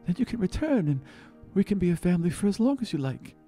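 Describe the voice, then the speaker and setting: A man acts out a gentle fantasy father, heavy with sorrow. He speaks in halting, solemn phrases with wide swings of pitch, over a music bed, in a tabletop roleplaying game. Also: big pitch swings. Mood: grave, sad. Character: gentle, sorrowful elven father revealing a painful secret.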